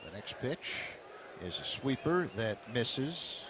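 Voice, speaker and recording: A man calling a baseball game play-by-play on the radio, steady and then excited on big plays. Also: mid-range voice, slow, noisy background. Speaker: play-by-play announcer.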